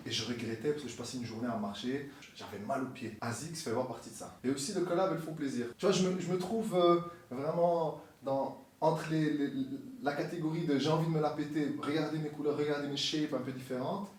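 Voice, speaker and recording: A man chatting casually in an interview as a musician guest, relaxed and mixing in English slang, recorded in a sneaker store. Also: fairly high pitch, brisk, few pauses. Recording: echoey store from far off.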